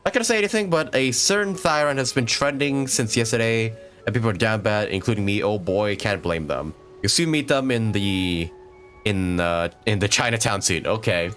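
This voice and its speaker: Low-voiced man rambling on a gaming stream. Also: animated, normal speed, fairly bright tone. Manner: hesitant, rambling, thinking aloud. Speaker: game livestreamer. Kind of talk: casual gaming livestream chat. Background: video game music.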